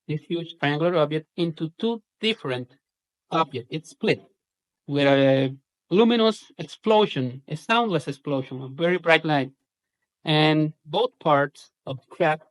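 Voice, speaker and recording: Higher-pitched Puerto Rican man recounting incidents. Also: fairly bright tone, no echo, poor audio. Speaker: UFO researcher giving a presentation.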